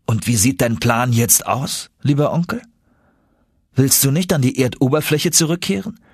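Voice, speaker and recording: A man voicing an excitable, doubting young male adventurer for an audiobook, questioning in astonishment, a little husky. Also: mid-range voice, moderate pace, no echo, clean studio sound, voice pushed up. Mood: doubtful.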